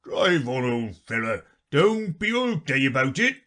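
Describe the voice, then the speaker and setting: A man's voice: mid-range voice, very animated, measured pace, voice pushed up. Man doing a brusque scolding Gryphon. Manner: blunt, loud and scolding. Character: gruff brusque male Gryphon, impatient.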